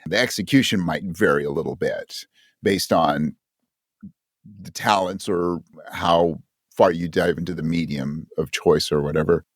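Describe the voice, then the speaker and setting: A man's voice: low voice, a little slow, dry recording, clean studio sound. A man talking as a guest in a casual interview, reflective and self-deprecating. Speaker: podcast guest, actor and audio drama writer.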